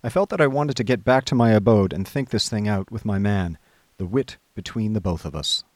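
A man playing an affable, idle upper-class gentleman, narrating a radio drama in breezy old-fashioned slang. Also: fairly low pitch, a little fast, dry recording, natural voice. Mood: wry, recounting.

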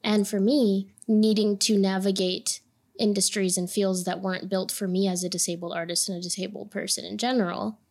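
A woman's voice: medium pitch, a little mellow. A woman from Puerto Rico, a native Spanish speaker, speaking earnestly and passionately as a podcast guest about her advocacy work. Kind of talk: podcast conversation.

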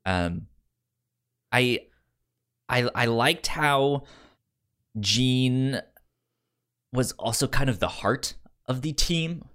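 Male co-host, mid-pitched, casual talk, hesitant delivery with frequent stammered repeats. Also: expressive, a bit bright, clear, resonant tone. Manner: halting, stammering on repeated syllables and words. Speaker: podcast co-host discussing comics. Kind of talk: casual book discussion chat.

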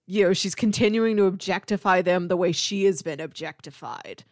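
A woman's voice: on the low side, moderate pace, dry recording. A woman podcast host chatting casually about film, often slipping into acted-out imagined quotes.

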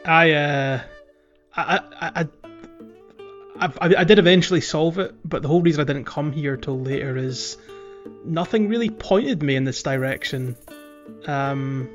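A man hosting a casual gaming podcast, talking in a halting way and repeating words as he thinks aloud. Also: fairly high pitch. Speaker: podcast host. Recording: slightly muffled audio. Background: faint music.

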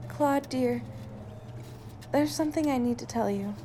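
A woman playing a caring, sensible scientist, wife and mother in an audio drama, with a gentle, earnest and expressive delivery. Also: sits high, a little slow, a little hiss or hum. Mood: tender.

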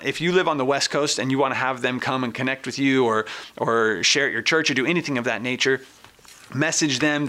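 American guy hosting a show, bright punchy voice, informal and enthusiastic, just talking to people. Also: mid-range voice. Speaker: show host. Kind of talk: conversational presenting and interviewing.

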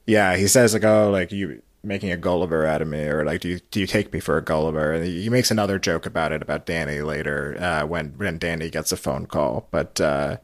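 A man's voice: deep voice, brisk, hardly any pauses. A man hosting a recap podcast, talking through a story in casual commentary on a podcast microphone. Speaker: podcast host.

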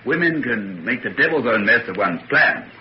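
An actor playing a breezy, blustering young English gentleman, exclaiming in an old-time radio drama with an English accent. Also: mid-range voice, lively intonation, normal speed, lightly acted. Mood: bluff, teasing. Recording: muffled, noisy old radio recording.

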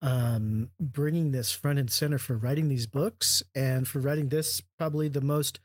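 A man's voice: medium pitch, steady tone, moderate pace, breathy edge, no room sound, clean studio sound. A man hosting a conversational interview, appreciative and a little playful in how he asks questions. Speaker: interview host.

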